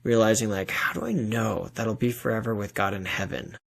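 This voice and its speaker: A man's voice: lowish voice, steady tone, brisk, gravelly. A man speaking as an interview guest, telling a personal story in a casual, informal way with "like" sprinkled through it. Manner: informal, conversational, frequent "like".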